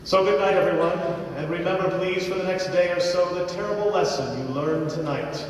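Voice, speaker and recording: A man's voice: high voice, brisk, slight background noise. A man announcing in a grand, declamatory, old-time radio style as the showmanlike host of a live play. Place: large theatre, live stage performance. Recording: echoey theatre from far, music and crowd.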